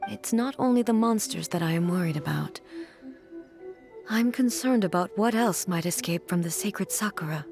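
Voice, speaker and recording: Stern regal goddess-ruler. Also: female voice, fairly low pitch, lively intonation. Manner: formal, measured speech. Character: regal, stern female god-ruler, composed and solemn. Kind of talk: video game dialogue. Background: soft game music.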